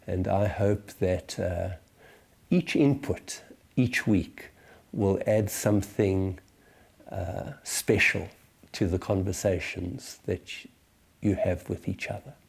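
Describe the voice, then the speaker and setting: A man in his sixties with a South African accent, giving a reflective church teaching to small groups in a measured, deliberate way, often pausing and hesitating. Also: deep voice, creaky, breathy edge. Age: 60s. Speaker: church teacher leading a series.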